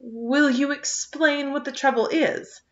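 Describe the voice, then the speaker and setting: A woman's voice: medium pitch, lively intonation, moderate pace, a bit bright, natural voice. A woman doing a dignified, earnest young girl. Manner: dignified, firm. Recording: slightly dull lo-fi recording.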